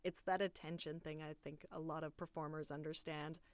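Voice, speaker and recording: A woman's voice: low-pitched, little pitch movement, fairly quick, dry recording. Upbeat Canadian woman, dry clean voice. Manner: chatty and upbeat. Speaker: voice actor. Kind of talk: personal bio monologue. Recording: dry, clean studio audio.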